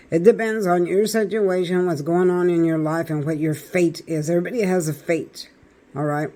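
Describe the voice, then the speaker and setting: A man with a raspy voice doing a chatty tarot reading, talking straight to his viewers. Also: a little high, a little mellow. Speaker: tarot reader.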